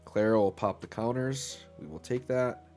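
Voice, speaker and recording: A man's voice: fairly low pitch, normal speed, a little mellow, faint music behind. A man hosting a gaming channel, talking casually through his gameplay. He sounds a little stuffy from an illness and fills his talk with "um" and "right?". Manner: upbeat, filler-heavy, talks while playing.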